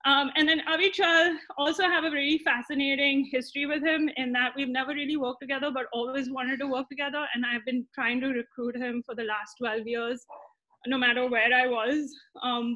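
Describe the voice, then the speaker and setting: A woman's voice: sits high, pushed and bright. A woman in her thirties giving a casual introduction as host on a video call. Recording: dull, band-limited video call audio.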